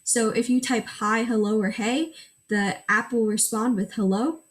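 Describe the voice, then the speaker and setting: A woman's voice: on the high side, steady tone, measured pace. A teenage girl whose first language is Gujarati, reading a prepared school project presentation and walking through screenshots step by step. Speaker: student presenter.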